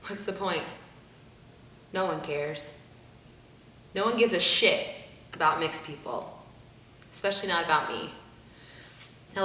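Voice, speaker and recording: Young woman for a rehearsed monologue: bright, forceful voice, dramatic emphasis, heavily reverberant room with faint rumble. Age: young adult. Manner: emphatic, theatrical delivery with deliberate pauses and repeated phrases. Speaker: monologue performer. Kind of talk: rehearsed spoken-word monologue. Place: room without an audience. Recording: echoey room, distant mic, rumbling noise.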